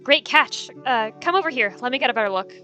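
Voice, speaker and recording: Caring but nervous she-cat mentor acted by a woman, high bright voice, halting delivery, roleplay storytelling. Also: lively intonation, soft background music. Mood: encouraging.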